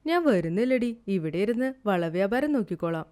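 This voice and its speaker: A woman's voice: medium pitch, lively intonation, normal speed, mellow, smooth, voice pushed down, natural voice. A woman voicing a practical, sceptical elder sister in a village storybook tale, matter-of-fact and scolding. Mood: resigned.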